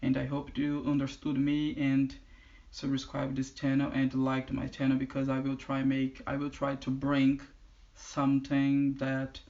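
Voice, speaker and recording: Man, Brazilian accent, Portuguese native speaker, halting learner English, mid-pitched, dull lo-fi recording. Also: completely flat delivery, a little mellow. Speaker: channel host. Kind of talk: casual vlog talk. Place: indoors in the UK.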